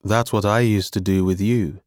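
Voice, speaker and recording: A man's voice: lowish voice, steady tone, moderate pace, darkish tone, dry recording, studio quality, lightly acted. A man voicing a stubborn, tired middle-aged father, critical but caring, in an audiobook story. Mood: advising. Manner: weary, firm, matter-of-fact.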